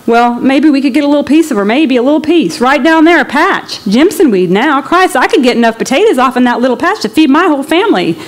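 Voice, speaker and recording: A woman reader plays a hungry migrant farmer man from a novel, desperate for land. The voice rises high above plain narration, with folksy country wording and an impassioned, pleading manner. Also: animated, fast, few pauses. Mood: yearning. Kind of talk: storybook character in a literary reading.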